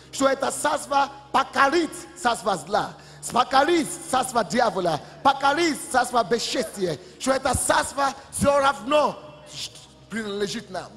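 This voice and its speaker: A man's voice: extremely high pitch, vocal fry, fairly bright tone. A male pastor preaching a sermon with impassioned, emphatic declarations. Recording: long church hall reverb with music.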